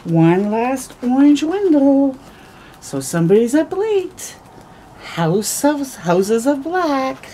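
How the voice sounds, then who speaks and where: A middle-aged woman reads a picture book to children in a high, mellow voice. She takes her time, drawing out words expressively and adding small asides. The recording is made outdoors, with clear background noise. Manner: expressive, slow storytelling with gasps and drawn-out words. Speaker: children's storytime host. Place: outdoors, porch or yard in early morning.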